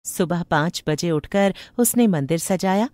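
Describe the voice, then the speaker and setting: A woman's voice: lowish voice, animated, measured pace, a little mellow, dry recording. A woman narrating a moral bedtime story in a scripted storytelling style.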